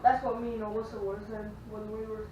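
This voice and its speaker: A woman's voice: medium pitch, little pitch movement, fairly quick, dark tone. A young woman chatting casually as she hosts a home vlog. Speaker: vlog host. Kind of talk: casual chat. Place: echoey room at home. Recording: echoey room, distant muffled mic, faint noise.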